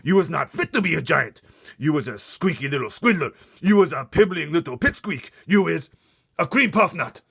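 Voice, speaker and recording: A man's voice: a little high, animated, moderate pace, creaky, fairly bright tone, husky, no echo, studio quality, pitched above the performer's own voice, exaggerated voice. Bellowing, brutish man-eating giant. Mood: furious, insulting. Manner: bellowing, ungrammatical insults. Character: huge brutish male man-eating giant, greedy, bullying.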